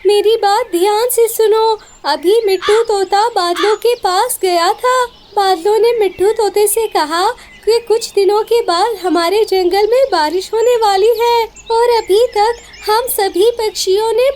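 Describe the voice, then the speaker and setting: A woman's voice: very high voice, measured pace, few pauses, darkish tone, pitched above the performer's own voice. A woman voicing a wise, hardworking little female bird in a cartoon story, earnest and instructive.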